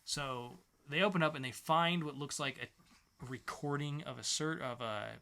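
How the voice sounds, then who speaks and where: A man's voice: a little high, unhurried, sharp tone, clean tone. A man co-hosting a podcast, reviewing comic books in an informal, enthusiastic way. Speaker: podcast co-host. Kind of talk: casual comic book review chat.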